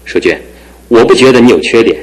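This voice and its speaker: A man's voice: medium pitch, quick pace, natural voice, low-quality recording. An actor voicing a good-natured, joking young man who is a bit pampered, in a studio radio drama. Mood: earnest. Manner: jokey and warm-hearted, earnest when serious. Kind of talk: 1960s-70s studio radio drama acting.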